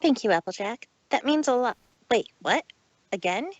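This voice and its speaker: A woman's voice: fairly high pitch, expressive, no room sound, lo-fi sound. A woman voicing a proud but uneasy mare who speaks formally and in the third person, in a fan fiction reading. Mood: grateful, puzzled. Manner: formal, halting, refers to herself by name.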